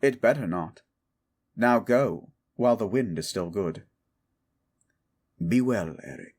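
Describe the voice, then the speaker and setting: A man's voice: lowish voice, animated, a little mellow, breathy edge, heavily acted. A man playing a protective, stubborn young king in a romantic audio drama, formal, earnest and tender. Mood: solemn. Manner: formal, measured, earnest phrasing. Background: background music.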